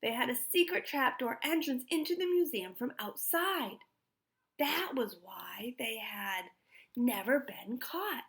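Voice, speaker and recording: Bright-voiced woman reading a children's story. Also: on the high side, expressive. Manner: pauses to put questions to young listeners. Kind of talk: expressive read-aloud narration.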